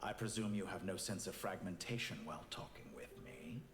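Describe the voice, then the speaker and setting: Man playing a calculating scientist villain, low-toned, measured, arrogant, video game dialogue, echoing with background noise. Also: natural voice. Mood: cool condescension. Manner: measured, condescending, philosophical. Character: cold, arrogant male scientist villain, detached schemer.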